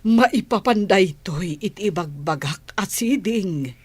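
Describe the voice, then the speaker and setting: A woman playing a suspicious, determined mother and lady of the house in a radio drama, with a husky voice and deliberate dramatic delivery. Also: medium pitch, expressive, no room sound. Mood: urgent, secretive. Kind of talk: Ilocano radio drama acting.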